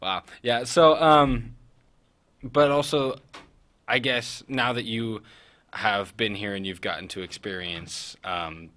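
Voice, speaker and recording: Bright-voiced young male interview host. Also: lowish voice, fairly even intonation, takes it slowly, slight background noise. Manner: joking, hesitant with many fillers. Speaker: youth show host. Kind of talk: casual interview.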